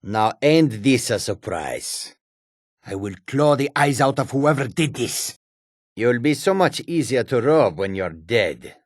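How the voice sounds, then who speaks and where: An actor playing a sly, menacing, proud humanoid cat warrior in a fantasy video game, with a husky, raspy voice and deliberate delivery. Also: male voice, medium pitch, animated, dry recording, clean studio sound. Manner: slow, deliberate, often speaks of himself in third person.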